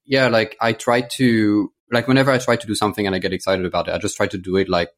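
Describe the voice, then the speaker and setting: A man in his thirties who grew up in France speaks in a fairly low voice. He chats informally and talkatively as a guest, getting livelier when he tells a story. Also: level tone, fast. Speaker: interview guest. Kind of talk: casual conversation.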